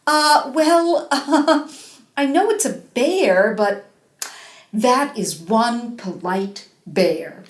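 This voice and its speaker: A woman playing a calm, kindly, cautious mother in a fairy tale, speaking in a hesitant, reassuring manner. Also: a little high, extremely slow. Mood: hesitant, accepting. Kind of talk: storybook character voice in live storytelling. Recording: roomy, echoing space.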